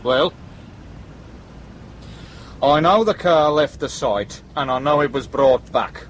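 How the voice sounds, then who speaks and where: A British actor playing a hard-bitten, bullying police detective in a radio drama, questioning bluntly and sardonically. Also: male voice, medium pitch, takes it slowly, slight background noise, lightly acted. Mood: hard, threatening. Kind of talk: broadcast radio drama acting.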